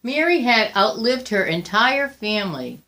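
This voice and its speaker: A woman reading a picture book aloud as a storyteller, deliberate and expressive, with frequent pauses. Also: a little high. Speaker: volunteer storybook reader.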